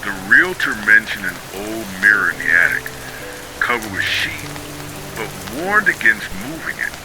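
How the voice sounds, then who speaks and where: A man narrating scripted ghost stories for sleep in a measured, dramatic storytelling manner. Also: on the low side, very animated, over loud music, rough recording.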